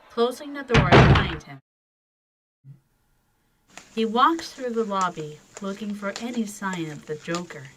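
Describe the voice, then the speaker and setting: A woman's voice: mid-range voice, background noise. A woman narrating an audio drama from a script as its storyteller.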